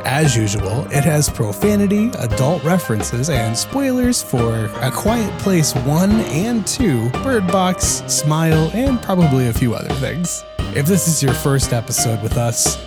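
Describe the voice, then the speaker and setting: A man's voice: on the high side, sing-song, measured pace. A man introducing a podcast episode in a friendly, scripted way. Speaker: podcast host. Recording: loud music under the voice.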